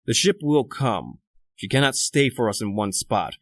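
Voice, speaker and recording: A man's voice: medium pitch, expressive, dry recording. Cold, commanding man acting as criminal doctor, dry recording. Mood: stern. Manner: formal, deliberate, commanding. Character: cold, calculating educated murderer doctor, leader of escaped convicts. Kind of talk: old-time radio horror acting, narrating and dialogue.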